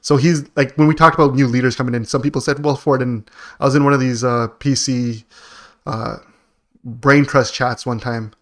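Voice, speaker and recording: A man's voice: medium pitch, steady tone, normal speed. A man co-hosting a podcast, chatting casually about politics in a slangy, joking way. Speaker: podcast co-host.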